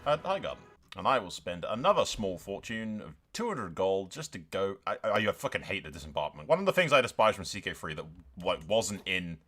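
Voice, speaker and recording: A man's voice: low voice, bright, forceful tone. A man giving casual, sweary game commentary, slipping into mock-grandiose role-play narration. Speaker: gaming video host.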